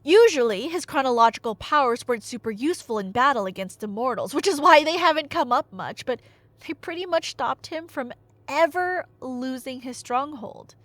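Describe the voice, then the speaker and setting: A young woman retelling a tale as a chatty, theatrical storyteller, with emphatic delivery, dry humor and dramatic pauses. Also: high voice, dry recording. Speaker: audio storyteller playing a nerdy friend. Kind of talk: casual dramatic retelling of myth, as ASMR roleplay.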